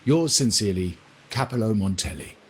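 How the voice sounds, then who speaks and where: A man's voice: on the low side, sing-song, brisk, husky. A man voicing a courtly, calculating heir of a powerful family in a video game, speaking formally and with deliberation. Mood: formal, solemn. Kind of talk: scripted game dialogue.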